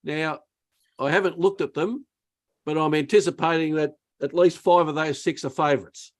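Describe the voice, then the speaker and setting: A man hosting a sports radio show, brisk as he wraps up and reads out tips. His voice sits fairly high. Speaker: radio host. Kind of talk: radio show host wrap-up.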